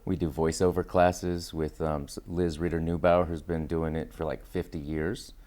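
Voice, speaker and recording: A man with a distinctly deep voice talks as a guest in an interview. His style is casual and enthusiastic, with phrases strung loosely together as he explains things. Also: level tone, normal speed, darkish tone. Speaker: interview guest, acting studio founder and teacher.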